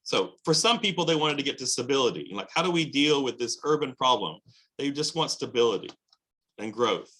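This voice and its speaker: Bright-voiced male history lecturer. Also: medium pitch, fairly quick. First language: English. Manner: lively, emphatic, many fillers and restarts. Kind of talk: recorded lecture.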